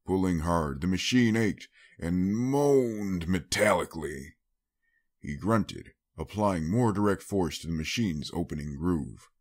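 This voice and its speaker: A man narrates a scripted story in a dramatic audiobook style. His voice sits very low, and his pitch moves in a lively, expressive way from phrase to phrase. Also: measured pace, slightly rough.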